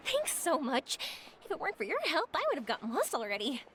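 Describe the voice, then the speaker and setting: A woman playing a cheerful, warm-hearted young woman in a video game, earnest and encouraging as she talks. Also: sits high, wildly swooping pitch, fast, slight background noise, exaggerated voice. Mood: grateful, friendly. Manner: bright, earnest, coaxing. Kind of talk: animated video game voice acting. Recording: game music playing behind.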